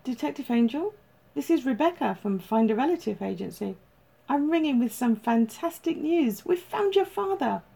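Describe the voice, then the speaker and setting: A woman's voice: high voice, animated, moderate pace, gravelly, mellow, breathy edge, voice pushed up, full character voice. A woman voicing a chirpy, upbeat female agency caller in an audiobook, with formal but chatty phone patter.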